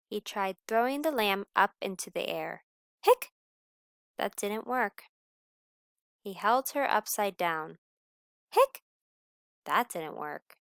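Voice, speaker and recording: A woman narrating a children's picture book aloud in an expressive storyteller's style. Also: medium pitch, clear, resonant tone, dry recording, studio quality.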